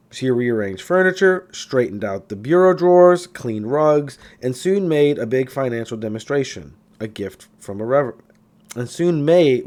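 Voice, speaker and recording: A man reading a self-help book aloud in an expressive way, occasionally stumbling and going back over a phrase. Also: mid-range voice. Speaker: audiobook reader.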